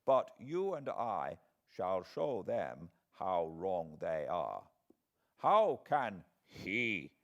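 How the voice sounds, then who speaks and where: Slow, courtly old professor. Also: male voice, low voice, sing-song, muffled recording, full character voice. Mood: suave, genial. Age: older. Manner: slow, deliberate, halting broken-English phrasing. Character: elderly courtly foreign professor, kindly, grave, wise. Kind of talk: audiobook character voice.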